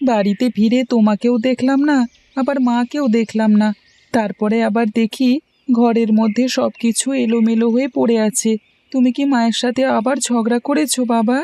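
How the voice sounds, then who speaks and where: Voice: mid-range voice, steady tone, moderate pace, mellow, close to an everyday voice. A voice actor doing an earnest worried son. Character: young son worried about his parents.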